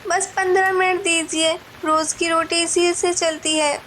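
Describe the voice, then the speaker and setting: A woman's voice: extremely high pitch, little pitch movement, a little slow, a bit bright, slight background noise. A woman voicing a poor, hardworking single mother who sells vegetables in a cartoon story, pleading but resolute. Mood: determined.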